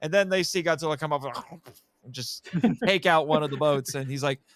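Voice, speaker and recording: A man's voice: a little high, normal speed, a bit bright, no echo. A man co-hosting a casual film discussion, enthusiastic and emphatic, often repeating himself. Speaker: podcast co-host reviewing a film.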